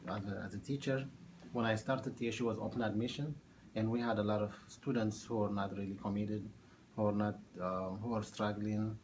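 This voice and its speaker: A man in his thirties from Ethiopia, a math teacher answering oral history questions in a halting way with many fillers. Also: on the low side, noisy background. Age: 30s.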